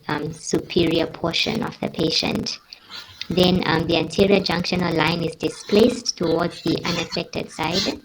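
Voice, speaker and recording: A woman's voice: lowish voice, noisy background. A woman giving a medical teaching presentation, explaining in a careful and somewhat hesitant way.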